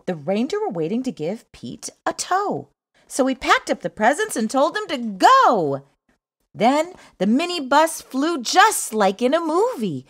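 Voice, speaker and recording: A woman reads a picture book aloud in a high voice, very animated and slow. Her storytelling is expressive and sing-song, leaning on each rhyme for young listeners. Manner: sing-song, emphatic rhyming delivery. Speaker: children's book reader.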